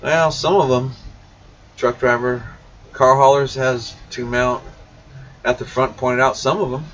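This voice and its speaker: A man's voice: mid-range voice, steady tone, measured pace, fairly bright tone. A man hosting a casual livestream Q&A, talking bluntly and informally and addressing viewers directly. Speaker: CB radio shop livestream host. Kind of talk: casual livestream Q&A, reading viewer questions aloud. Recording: dull, distant mic, faint background noise.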